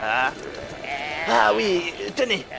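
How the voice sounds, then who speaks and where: An actor playing a hapless, hesitant, grumbling helper of Santa Claus in a comic audio drama. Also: male voice, sits high, big pitch swings, very slow, vocal fry, heavily acted. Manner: hesitant, whiny. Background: music.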